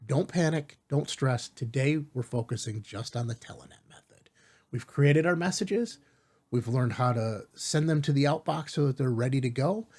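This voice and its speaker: A man hosting a step-by-step software tutorial in an informal, reassuring way, often checking in with rhetorical asides. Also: mid-range voice, normal speed. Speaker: tutorial host.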